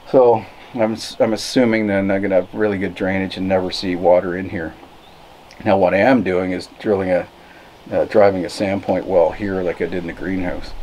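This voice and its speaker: A man in his fifties explaining casually to camera, unhurried and thinking aloud as he goes. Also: low voice, a little mellow, poor audio. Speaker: vlogger, cabin builder. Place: outdoors in a forest, open timber frame. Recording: clear background noise outdoors.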